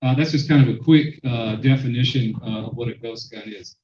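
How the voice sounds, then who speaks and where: A man giving a public lecture as a sociologist, with a loud voice and many fillers and restarts. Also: medium pitch, fairly quick. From Mexican-American. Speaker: sociologist presenter. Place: lecture room. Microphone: handheld microphone, then none. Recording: echoey hall, dull sound.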